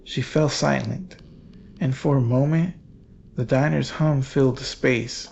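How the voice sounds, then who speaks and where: A man narrating a scripted horror story in a solemn, measured, portentous manner with dramatic pauses. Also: medium pitch, fairly even intonation, gravelly, darkish tone, dull audio, slight background noise.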